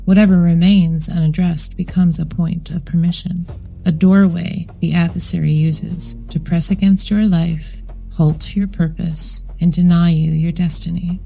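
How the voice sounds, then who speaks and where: A woman with a low, soft-edged mellow voice narrates a guided meditation. Her delivery is solemn and measured, with deliberate phrasing and a creaky grain. Music plays behind her. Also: little pitch movement, rough recording. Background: background music.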